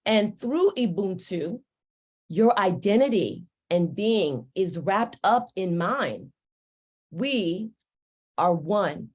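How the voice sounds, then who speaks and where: A woman whose first language is Yoruba, giving a slow, deliberate and uplifting formal speech at an awards ceremony. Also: medium pitch, lively intonation, breathy edge. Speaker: ceremony speaker.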